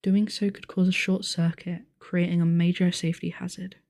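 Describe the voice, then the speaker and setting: A woman playing a calm game master who narrates a sci-fi tabletop adventure in measured, descriptive speech. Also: lowish voice, vocal fry, dry recording, studio quality. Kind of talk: scripted narration, ASMR audio roleplay.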